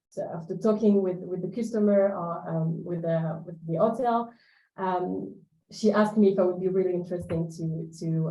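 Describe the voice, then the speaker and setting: A woman's voice: on the low side, dark tone, echoey room, dull audio. A woman from France, a recruiter talking through her career in a webinar, with frequent fillers and restarts. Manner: hesitant, many fillers and restarts. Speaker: guest recruiter. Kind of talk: webinar conversation.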